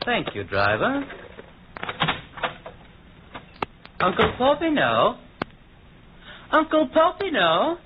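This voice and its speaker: A man playing an eager, courteous, gullible young gentleman in love, in a 1940s radio drama. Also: very high-pitched, wildly swooping pitch, a little slow, heavy background noise, full character voice. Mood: urgent. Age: young adult. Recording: muffled, narrow-band old radio recording.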